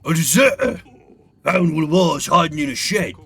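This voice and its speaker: A man voicing an urgent, alarmed soldier in a medieval fantasy game, speaking loudly. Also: a little high, normal speed, vocal fry. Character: urgent soldier reporting to his king.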